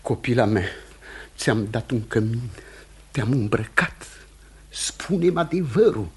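An actor playing an anxious, domineering middle-aged Puritan pastor in a theatrical radio drama, urgent and imploring. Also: male voice, medium pitch, animated, darkish tone, slightly rough. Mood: anguished, accusing. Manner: fretful, urgent, imploring, then interrogating.

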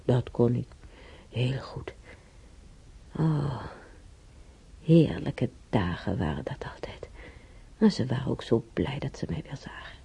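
Husky, whispery, frail old woman reminiscing. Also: a bass voice, dark tone, exaggerated voice. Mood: fond reminiscing. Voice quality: husky, breathy, gravelly. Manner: halting, musing to herself, with sighing pauses. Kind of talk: radio drama monologue.

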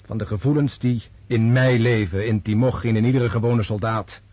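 An actor in a Dutch radio drama playing a disillusioned, resolute Russian officer prince, speaking in a deliberate, scornful way. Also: male voice, medium pitch, steady tone, darkish tone, dry recording, lo-fi sound, natural voice. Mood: bitter, lecturing.

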